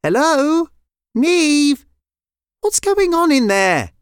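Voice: sits high, very animated, drawn-out words, no room sound, clean studio sound, voice pushed up, heavily acted. A storyteller voicing a curious, puzzled young girl in a children's story. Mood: calling out, puzzled.

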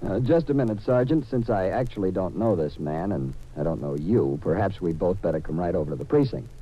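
An actor playing a skeptical, indignant lawyer in a 1950s radio drama, speaking in formal, precise phrases. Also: male voice, low voice, animated, brisk, husky. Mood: guarded. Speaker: supporting actor. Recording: muffled old radio recording.

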